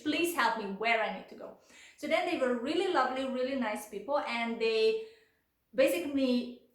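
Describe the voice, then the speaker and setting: A young woman with a Hungarian accent chats her way through a storytime vlog, talking in English and leaning on filler words like "like" and "you know". Her voice sits a little high, and the room around her rings with a noticeable echo. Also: a bit bright. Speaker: vlogger.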